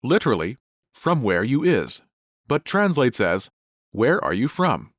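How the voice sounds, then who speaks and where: A man in the middle of his pitch range narrates a language lesson. His voice rises and falls with lively movement as he explains each point clearly, recorded close on a dry studio mic with no room sound. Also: measured pace, no echo. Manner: clear, explanatory teacher delivery. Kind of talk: scripted grammar lesson narration.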